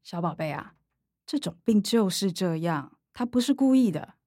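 A woman's voice: fairly low pitch, lively intonation, normal speed, dark tone, slightly rough, no echo, clean studio sound. An actress playing a middle-aged mother in a radio drama, chatty and complaining, with a Taiwanese accent. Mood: placating. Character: middle-aged mother, coaxing with daughters, exasperated with grandma.

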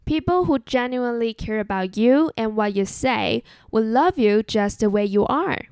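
A woman's voice: on the high side, clean tone, dry recording, clean studio sound. Woman with a Chinese accent, earnest podcaster. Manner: earnest, measured, relaxed and conversational. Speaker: podcast host. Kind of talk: solo podcast monologue.

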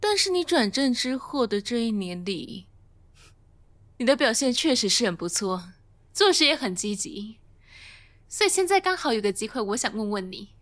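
A woman acting a composed, frank office manager in a radio drama, businesslike and measured. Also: a little high, sing-song, a bit bright.

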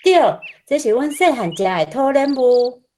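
A woman acting a gentle, cheerful mother in a radio drama, speaking expressively with a Taiwanese accent. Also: high voice, normal speed, a little hiss or hum. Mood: warm, coaxing. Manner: animated, expressive. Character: gentle, cheerful mother talking to her child.

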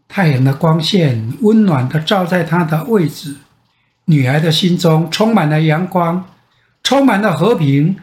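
An older man with a Taiwanese Mandarin accent tells a bedtime fairy tale as a grandfather, with dramatic pauses and repetition. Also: high-pitched. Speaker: grandfather storyteller. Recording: roomy echo.

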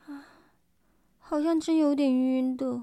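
A woman playing an excitable young woman in a radio drama, with a cutesy, whiny, exclamatory manner. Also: high voice, measured pace, very noisy. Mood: tipsy. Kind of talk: Mandarin radio drama acting.

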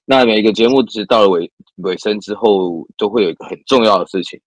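Husky low-voiced male host. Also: fast talker, no room sound, rough recording. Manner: encouraging, frequent murmured agreement, playful. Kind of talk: casual interview hosting.

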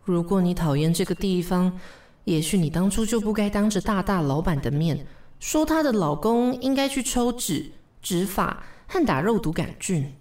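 A woman's voice: lowish voice, lively intonation, normal speed, a little mellow, lightly acted. A woman playing an exasperated, dryly bossy office secretary in a radio drama. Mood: exasperated, sardonic. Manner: dry, bossy, world-weary. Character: brisk, exasperated, sardonic female office secretary.

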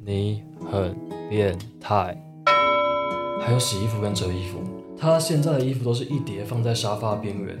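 A man acting a low-voiced, exasperated young office guy. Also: moderate pace, natural voice. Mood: annoyed. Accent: Taiwanese Mandarin. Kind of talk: radio drama acting with inner-monologue narration. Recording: roomy sound with guitar music behind.

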